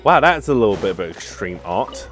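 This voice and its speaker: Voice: deep voice, big pitch swings, moderate pace, faint music behind. A game streamer giving casual, chatty play-along commentary and reacting to the game.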